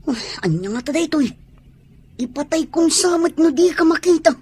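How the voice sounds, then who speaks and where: A woman's voice: sits high, expressive, normal speed, darkish tone, no room sound. A woman playing a devoted, playful tomboy sweetheart in an Ilocano comedy drama. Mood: lovesick.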